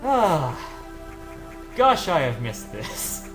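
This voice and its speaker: Animated man bantering over video game music. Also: a little high, extremely slow. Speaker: let's play host. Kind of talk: casual gaming banter.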